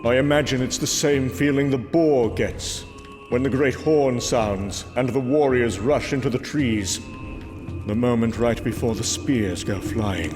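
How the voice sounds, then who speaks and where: An actor playing a proud, brooding warrior god, telling his tale gravely and theatrically in a comic book drama. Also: mid-range voice, lightly acted. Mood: grim, brooding. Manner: grave, theatrical, measured storytelling. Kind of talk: dramatic first-person comic book narration. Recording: music under the voice. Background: music.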